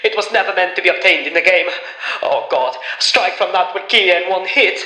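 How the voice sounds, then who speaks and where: Male narrator as anxious Swedish developer, grave, raised pitch, roomy echo, audiobook character. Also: a little fast, sharp tone. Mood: alarmed. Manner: grave, warning, exclamatory. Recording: roomy, echoing voice.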